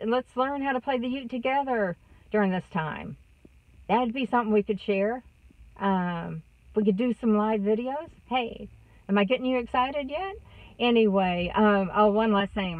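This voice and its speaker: A woman's voice: mid-range voice, no room sound, recorded from a distance. Chatty Texan woman in her sixties. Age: 60s. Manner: chatty, warm, rambling with many fillers. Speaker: vlogger. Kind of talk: casual chat to camera. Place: inside a parked car. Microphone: phone camera close up. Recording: dry, thin phone-like sound.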